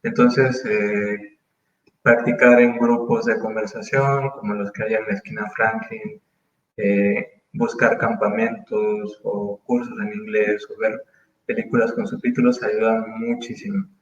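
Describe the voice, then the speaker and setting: A man in his thirties from Mexico, speaking as an interview guest in casual conversation, thoughtful and full of pauses and restarts. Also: lowish voice, little pitch movement, roomy sound, highs cut off, a little hiss or hum. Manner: thoughtful, hesitant, many fillers and restarts.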